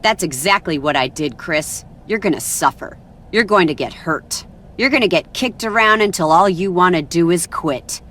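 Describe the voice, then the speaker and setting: A woman's voice: on the low side, lively intonation, fairly bright tone. Smug mean wrestling boss lady for a game, taunting, slight noise behind. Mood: menacing. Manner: taunting, deliberate threats. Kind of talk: video game cutscene acting.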